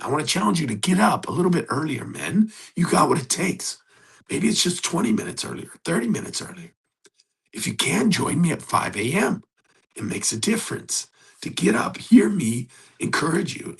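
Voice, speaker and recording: A hoarse man hosting a motivational coaching talk, speaking in an earnest, exhorting and conversational way. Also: fairly high pitch, fairly bright tone. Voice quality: gravelly, husky. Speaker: host.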